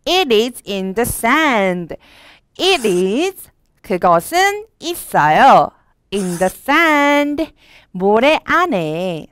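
A young woman teaching a storybook lesson at a very slow, careful pace. Her pitch is a little high and rises and falls a lot. Her voice is smooth and clear, recorded dry in a studio. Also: big pitch swings, a bit bright, dry recording. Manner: slow, clear reading of English lines with Korean explanations. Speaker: English teacher for children. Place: studio with a green screen.

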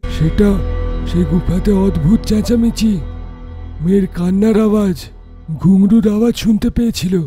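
A man playing a timid, deferential young engineer in an animated horror story, anxious and respectful. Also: high-pitched, moderate pace, pauses often, soft background music, lightly acted. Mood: fearful warning.

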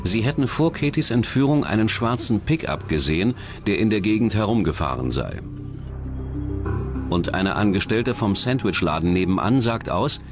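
Low-voiced male true-crime narrator. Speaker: documentary narrator.

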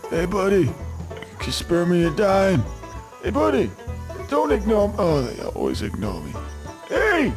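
A man's voice: sits high, huge pitch swings, a little slow, pauses often, music in the background, heavily acted. A man playing a crude, whining bee king reduced to begging, in a comic video game. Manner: crude, complaining, wheedling. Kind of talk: video game cutscene acting.

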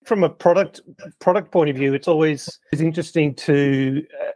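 A man's voice: fairly high pitch, normal speed, warm tone, dry recording. A man hosting a podcast, introducing guests and interviewing them conversationally. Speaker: podcast host.

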